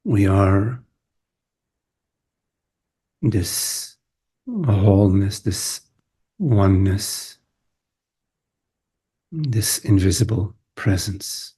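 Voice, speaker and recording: A man leading a guided meditation as a teacher, speaking in short contemplative phrases with long pauses. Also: on the low side, expressive, dark tone, slightly rough. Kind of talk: guided meditation, spoken softly to listeners.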